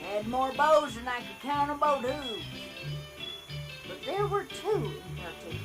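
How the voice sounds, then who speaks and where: Here is a woman acting out an old country granny pony from a cartoon, with a Southern American drawl. The voice sits a little high and is homespun, wise and mildly scolding. Music plays clearly behind it. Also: low-quality recording. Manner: homespun, gently scolding storyteller. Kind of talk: fan comic dub character acting.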